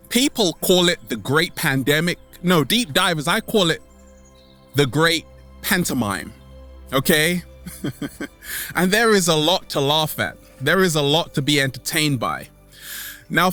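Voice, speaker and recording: Slow, animated upbeat man. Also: fairly high pitch. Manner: theatrical, drawn-out words, playful repetition; upbeat, exuberant. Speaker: video host. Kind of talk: motivational vlog to camera, catchphrases. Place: outdoors in nature.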